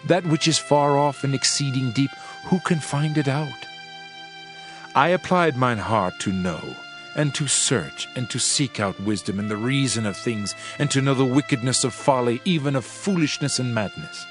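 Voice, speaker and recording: A man playing a solemn, world-weary preacher in a dramatized scripture reading, with measured, archaic oratory. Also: medium pitch, lightly acted. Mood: solemn, reflective. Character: male preacher, solemn world-weary sage pondering wisdom and vanity. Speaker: narrator of a dramatized audio Bible. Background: music.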